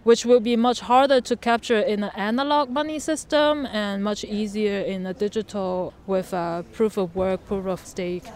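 A woman presenting her project at a panel discussion, speaking thoughtfully with searching pauses and fillers. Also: mid-range voice, darkish tone. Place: museum venue.

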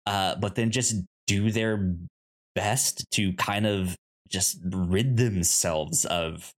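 A man co-hosting a casual discussion, speaking haltingly and stuttering on repeated sounds and words. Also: sits low, fairly even intonation. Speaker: podcast co-host. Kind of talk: casual chat, film discussion.

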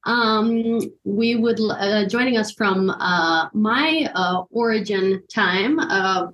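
A woman's voice: mid-range voice, slow, dull audio. A woman co-hosting a podcast over a video call, laid-back and self-deprecating. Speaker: podcast co-host. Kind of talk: casual chat.

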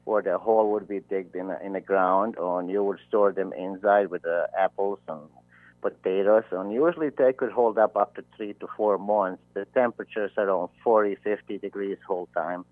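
A man's voice: deep voice, fairly even intonation, dry recording. Bosnian-accented man on a tinny phone line. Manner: halting, searching for words in non-native English. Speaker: phone-in interview guest. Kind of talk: conversational interview answers. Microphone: telephone.

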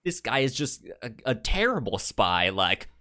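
A man co-hosting a casual film discussion, speaking haltingly and often repeating syllables and words. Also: mid-range voice, very animated, sharp tone. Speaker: podcast co-host.

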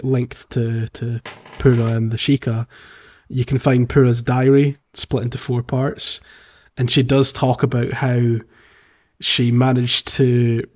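A man co-hosting a podcast, chatting casually about a video game in a hesitant, halting way. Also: mid-range voice, darkish tone, highs cut off. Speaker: podcast co-host.

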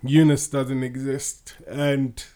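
A man co-hosting a podcast in a loose, casual chat. He wanders through his points with restarts and fillers and laughs often. His voice sits at an ordinary middle pitch. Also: level tone, slow pace, dark tone. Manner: rambling, hesitant, full of restarts and fillers. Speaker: podcast co-host.

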